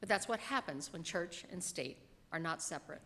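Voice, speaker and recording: A middle-aged woman reads a written sermon aloud from a pulpit, with measured, emphatic phrasing and dry asides. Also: fairly low pitch, expressive, fairly bright tone. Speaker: lay reader delivering a written sermon. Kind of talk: sermon read aloud from a script. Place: church pulpit in a large reverberant room. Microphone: gooseneck lectern microphone. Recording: reverberant hall sound.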